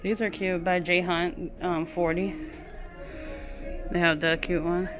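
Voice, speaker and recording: Woman vlogger, low voice, chatty store walk-through on a phone, music playing behind. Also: little pitch movement, slow pace. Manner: chatty, keeps calling things cute, reads prices aloud. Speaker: shopping vlogger. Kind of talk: casual shop-with-me narration. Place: inside a large home goods store. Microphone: handheld phone camera.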